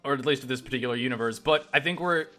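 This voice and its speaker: A man's voice: medium pitch, rapid-fire, sharp tone, faint music behind. A young man giving casual, opinionated gaming commentary as a let's play host, emphatic and freely swearing.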